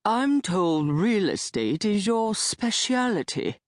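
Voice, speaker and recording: A woman voicing a thin, gloomy old man in his late sixties who speaks in a slow, formal and dryly sour way. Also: sits low, very animated, darkish tone, dry recording, studio quality, heavily acted. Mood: glum, formal. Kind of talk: audiobook character voice.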